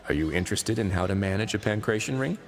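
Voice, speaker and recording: An actor playing a composed, courteous prison warden in a video game, explaining things formally and with dry authority. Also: male voice, fairly low pitch, animated. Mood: composed, explanatory. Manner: measured, formal, matter-of-fact explaining. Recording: game music behind.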